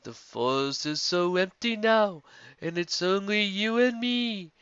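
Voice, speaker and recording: A man voicing a solemn female zebra who tells a tale in slow sung rhyme. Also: high-pitched, expressive, a bit bright, smooth, no echo, voice pushed up. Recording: dull lo-fi audio.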